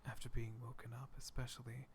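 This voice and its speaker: A man voicing a calm, kindly male dragon in a soft-spoken ASMR roleplay, speaking slowly and soothingly with a gravelly whisper close to the mic. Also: medium pitch, slight background noise, natural voice. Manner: slow, hushed, comforting. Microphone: close sensitive ASMR mic.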